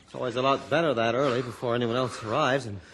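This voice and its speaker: An actor playing an excitable, flustered fisherman in an old radio adventure drama, stammering and earnest, on a noisy old recording. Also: mid-range voice, fast, lightly acted. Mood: nervous.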